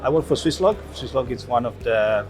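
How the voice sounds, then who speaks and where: A man's voice: medium pitch, animated, fairly quick, music in the background. A man in his fifties from Romania, an interview guest speaking earnestly and enthusiastically at a trade event, with small restarts. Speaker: interview guest, logistics executive. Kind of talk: conversational interview.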